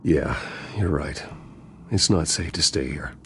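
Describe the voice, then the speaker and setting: Low-voiced, composed American businessman. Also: unhurried, a little hiss or hum. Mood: calm, concerned. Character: composed, guarded wealthy businessman, protective of friends. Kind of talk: video game drama acting. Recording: music behind the voice. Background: music.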